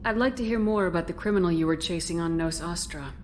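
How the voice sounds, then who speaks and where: A woman's voice: lowish voice, fast, a little mellow, a little hiss or hum, natural voice. A woman playing a firm, businesslike military commander in a video game, direct and probing.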